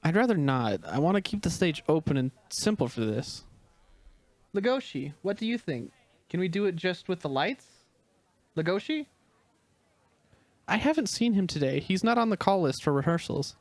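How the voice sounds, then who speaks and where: A man acts out an exacting, artistic stage director in an audio drama reading. The voice is high for a man, with deliberate, instructing phrasing. The sound is close and dry. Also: sing-song, no echo. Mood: wondering.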